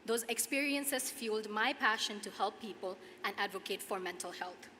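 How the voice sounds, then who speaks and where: Woman, 20s, Nepali accent, student commencement speaker; forceful bright tone, earnest measured phrasing, big reverberant hall. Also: medium pitch. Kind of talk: prepared commencement speech. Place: large hall at a podium, audience ambience. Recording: echoey hall PA, clear background noise.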